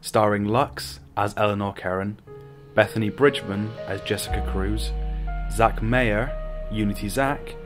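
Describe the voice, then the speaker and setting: Low-voiced man reading credits over guitar music. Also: fairly even intonation, a little slow. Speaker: announcer. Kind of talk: scripted radio drama credits.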